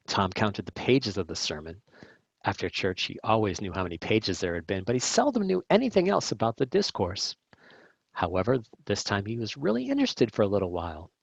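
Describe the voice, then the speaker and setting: A man reading a classic story aloud as a narrator, in measured, deliberate short phrases. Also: medium pitch, no room sound, muffled recording. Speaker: volunteer audiobook reader.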